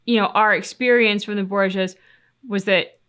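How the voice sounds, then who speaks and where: Female reaction co-host, medium pitch, casual, thinks aloud and poses questions. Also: fairly even intonation, normal speed, fairly bright tone, clear, resonant tone. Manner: musing, questioning. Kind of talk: casual chat reacting to game cutscenes.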